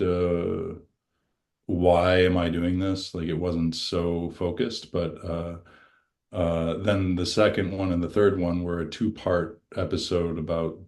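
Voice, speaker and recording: A middle-aged man from western New York, a musician guest, talking casually and haltingly with many restarts. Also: a little mellow. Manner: deep-voiced, halting, restarts often. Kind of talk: casual chat.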